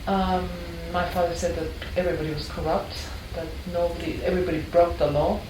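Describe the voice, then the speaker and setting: A woman's voice: on the low side, flat delivery, fairly quick, recorded from a distance. An older woman from Ecuador, a longtime art history teacher, telling her story in an earnest, reflective way with frequent restarts. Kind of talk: personal storytelling interview. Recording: echoey room, mic set back, background noise.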